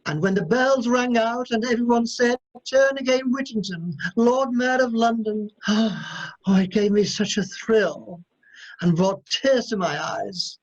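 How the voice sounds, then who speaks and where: A man's voice: very high-pitched, measured pace. A man playing a camp, gushing pantomime enthusiast in a comic radio play, effusive and theatrical. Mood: gushing, nostalgic. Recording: slightly dull video call audio.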